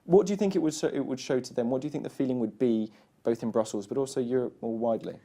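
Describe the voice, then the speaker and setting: A male interviewer talks quickly in a warm, mellow tone. He asks long questions in a studio and restarts his phrases as he goes. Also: mid-range voice.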